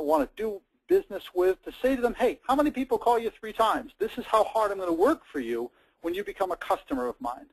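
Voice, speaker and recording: A man's voice: high-pitched, gravelly, poor audio. An American man hosting a sales coaching talk in a conversational, emphatic style, with punchy short sentences. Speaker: host, sales trainer.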